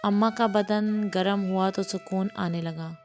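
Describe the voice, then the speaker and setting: A woman storyteller narrating a scripted domestic tale in an unhurried, measured manner. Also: medium pitch, fairly even intonation, faint music behind. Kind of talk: scripted audio storytelling in Urdu with acted dialogue.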